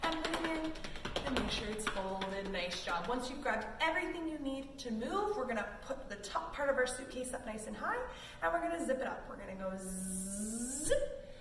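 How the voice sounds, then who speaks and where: A woman's voice: a little high, animated, moderate pace. A woman leading a children's yoga storytime in an encouraging, instructive way with simple phrasing. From Canada. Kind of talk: guided kids' yoga class with storytime. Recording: roomy, distant mic with faint noise.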